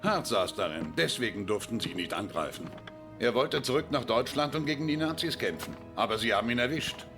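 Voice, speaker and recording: Man acting a stern general, expressive, music behind. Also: medium pitch, fairly quick, lightly acted. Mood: insistent. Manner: authoritative, grave. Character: stern, commanding British general, grave and insistent. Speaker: video game character in cutscenes. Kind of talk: dramatic briefing in game cutscene.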